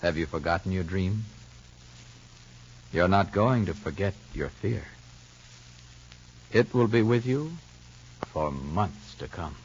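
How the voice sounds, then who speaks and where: A man's voice: low-pitched, slow, pauses often, slight background noise. A man narrating and announcing for an old radio soap, in a dramatic, persuasive delivery. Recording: dull lo-fi old radio recording.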